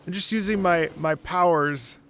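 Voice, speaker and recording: A man's voice: high-pitched, normal speed, fairly bright tone. A man streaming a game, chatting casually in a joking, chatty manner. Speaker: streamer and voice actor. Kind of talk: casual livestream chat over gameplay. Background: game music.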